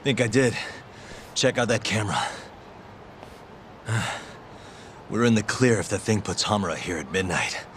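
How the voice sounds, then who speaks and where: An actor playing a cool, determined investigator in a crime game, talking in a casual, understated way. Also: male voice, fairly low pitch, lively intonation, fairly quick, a bit bright, a little hiss or hum. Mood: cautiously hopeful. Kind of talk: video game cutscene acting.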